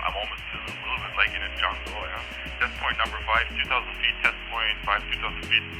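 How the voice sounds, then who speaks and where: A man's voice: lowish voice, fairly even intonation, fairly quick, over loud music, rough recording. A man casually calling out field test announcements as a product reviewer, repeating details for his listeners. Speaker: tech product reviewer doing a range test.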